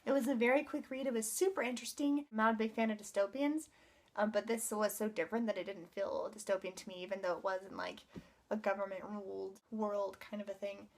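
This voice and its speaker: A woman's voice: mid-range voice, fast talker. A woman giving a casual book review to viewers as a vlogger, speaking in informal, loosely hedged phrases.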